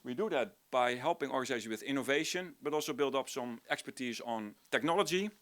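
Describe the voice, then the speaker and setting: A man's voice: medium pitch, animated, quick pace, a bit bright. A middle-aged man from the Netherlands with a Dutch accent, a native Dutch speaker, presenting informally as a university lecturer at a conference and often restarting as he searches for words. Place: conference room, before an audience.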